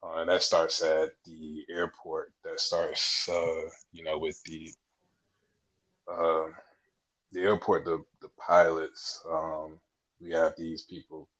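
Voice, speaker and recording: A young man with a very low, husky voice explains things casually as an interview guest. His delivery stays fairly flat and conversational, and the audio is dull and muffled. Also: slow. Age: 20s. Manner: explanatory, conversational, frequent "you know". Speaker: podcast guest, air traffic controller trainee.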